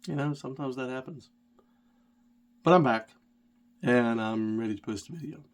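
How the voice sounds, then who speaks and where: A man's voice: mid-range voice, normal speed. Stuffy-voiced man rambling through a story. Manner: rambling, digressive, self-deprecating. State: stuffy head cold. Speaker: rideshare driver and channel host. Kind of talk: casual storytelling vlog.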